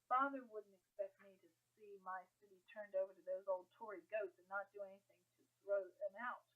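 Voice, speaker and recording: A woman's voice: medium pitch, steady tone, moderate pace, phone-quality sound, close to an everyday voice. A woman voicing an indignant, defiant teenage boy from colonial times in an audiobook. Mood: furious resolve. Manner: indignant, fiery. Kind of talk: audiobook character dialogue.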